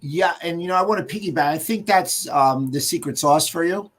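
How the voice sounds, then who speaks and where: A man's voice: on the high side, a little fast, fairly bright tone. An American man hosting a casual interview, telling anecdotes in an easy conversational way. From United States. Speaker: host.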